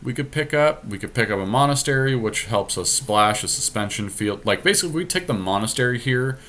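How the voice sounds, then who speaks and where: A man's voice: mid-range voice, expressive, fairly quick, fairly bright tone. A man doing casual think-aloud commentary on a card game draft, decisively explaining his reasoning as he goes.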